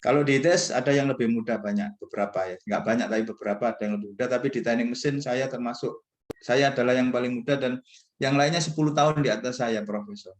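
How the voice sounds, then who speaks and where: A man's voice: medium pitch, fast. A man gives an informal religious lecture to students as a professor, in a conversational, rambling, self-deprecating way. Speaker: university professor speaking as guest mentor. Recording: echoey, roomy sound.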